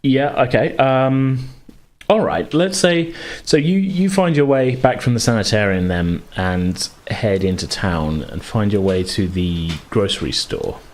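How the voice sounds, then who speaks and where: A man's voice: fairly low pitch, animated, measured pace. A man hosting a tabletop gaming podcast as game master, talking in an informal, conversational way. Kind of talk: casual podcast intro, recap and game narration.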